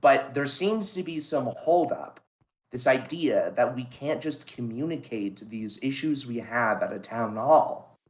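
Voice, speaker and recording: A man's voice: medium pitch, expressive, a little slow, poor audio. Earnest man pressing his point. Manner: earnest, insistent arguing. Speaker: debate candidate. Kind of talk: casual roleplay debate.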